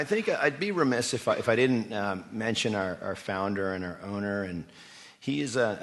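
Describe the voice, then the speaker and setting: A man with a fairly low voice speaks as a guest in a live interview. He talks conversationally, like an executive used to persuading people, with enthusiasm and questions tossed out to listeners. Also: fairly bright tone. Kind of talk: live stage radio interview, conversational storytelling.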